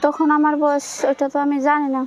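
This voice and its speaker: A young woman of about eighteen with a colloquial Bangladeshi accent, giving plain, informal answers in a soft, weak-sounding voice. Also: sits high, monotone, quick pace, a little hiss or hum. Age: young adult, about 18. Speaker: interviewee.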